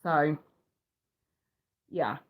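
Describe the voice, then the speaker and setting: A woman's voice: low voice, drawn-out words, dull audio. Middle-aged woman, stuffy-nosed, rambling live-stream host on a webcam or phone. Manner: chatty, warm to viewers, swears casually. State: blocked nose. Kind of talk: casual live-stream chat.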